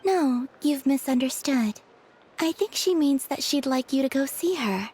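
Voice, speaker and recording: An actress playing a composed young woman in video game dialogue, speaking in a measured, courteous way. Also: high-pitched, expressive, a little mellow, a little hiss or hum. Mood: calm, cordial. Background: music.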